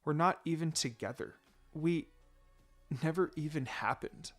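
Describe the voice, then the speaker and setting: A man voicing a young female ninja in a story reading, firm and sardonic but secretly soft-hearted. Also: fairly high pitch, a little slow, soft background music, lightly acted. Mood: sorrowful, resolved.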